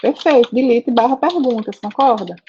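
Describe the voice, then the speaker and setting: A woman's voice: fairly high pitch, moderate pace, warm tone, a little hiss or hum. Brazilian woman teaching with dull audio. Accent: Brazilian Portuguese. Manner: conversational, frequent "né?" and "tá?" checks. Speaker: programming teacher. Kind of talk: live online class, asking students questions.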